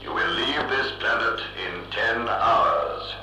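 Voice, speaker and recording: A cold, pompous electronic brain, piercingly sharp and heavily echoed. It speaks formally and without feeling, like a ruler in an old science-fiction radio play, with faint music behind. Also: male voice, medium pitch. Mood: commanding. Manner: emotionless, pompous, formal and deliberate. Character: cold, imperious giant thinking machine ruling Mars. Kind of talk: vintage science-fiction radio drama acting. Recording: echoing old reel-to-reel radio recording.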